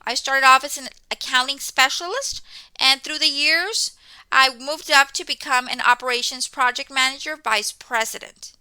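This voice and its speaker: A woman's voice: high-pitched, normal speed, sharp tone, no room sound, rough recording. A woman in her forties, a native Spanish speaker from Mexico, welcoming students to an accounting course and often checking in with "okay?". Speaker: accounting instructor.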